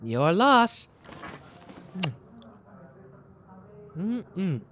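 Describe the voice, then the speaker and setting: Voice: medium pitch, sing-song, very slow, a bit bright, slight background noise, full character voice. A performer voicing a smug, boastful young male detective in an ASMR roleplay, teasing and playful.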